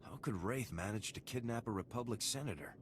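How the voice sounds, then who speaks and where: A man's voice: on the low side, expressive, fairly quick, a little hiss or hum, close to an everyday voice. An actor playing a confident male lieutenant in a video game, talking in a dry, blunt, businesslike way. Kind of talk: video game character acting.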